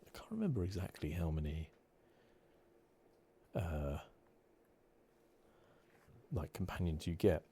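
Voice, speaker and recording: A man softly giving relaxed, hesitant ASMR commentary over a video game playthrough, close to the microphone. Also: low-pitched, takes it slowly, long pauses. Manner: soft-spoken, relaxed, hesitant. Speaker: gaming video host. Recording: clear steady background noise.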